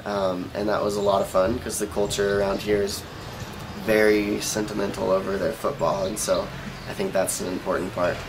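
A young man with an American accent speaks casually, as in a relaxed interview. His voice sits fairly low. He is recorded on an open-air terrace, with clearly audible background noise. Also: normal speed. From Oregon, United States. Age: young adult. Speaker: volunteer being interviewed. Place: outdoor rooftop terrace.

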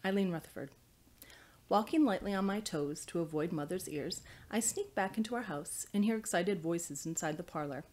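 A woman's voice: lowish voice, normal speed, a little mellow. A woman in her thirties, an author reading and presenting her novel in a small study. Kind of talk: audiobook-style reading, scripted narration. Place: small indoor study with bookshelves.

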